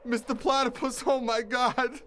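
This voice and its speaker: A very high-voiced, awestruck stagehand. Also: male voice, level tone, moderate pace, a bit bright, a little hiss or hum. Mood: awed, tearful. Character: frightened, tearful, devout male stagehand. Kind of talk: audio drama acting.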